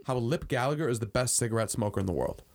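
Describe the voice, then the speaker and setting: A man's voice: mid-range voice, fast talker. A young man in his early twenties co-hosting a podcast, rambling casually with lots of fillers and swearing. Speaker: podcast co-host. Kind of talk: casual chat.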